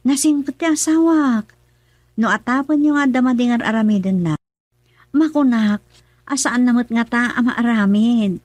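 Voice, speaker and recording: An anxious young wife and mother played by a woman in a radio drama. The voice is high and earnest, that of someone trusting and easily troubled. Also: normal speed, a little mellow, lightly acted. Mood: defensive, trusting.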